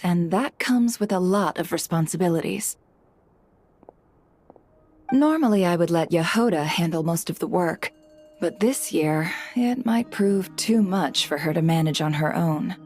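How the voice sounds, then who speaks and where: A woman's voice: fairly low pitch, measured pace, darkish tone, faint music behind. An actress playing a composed, courteous and shrewd businesswoman in a video game, speaking in a polished, confident manner. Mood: composed, explanatory.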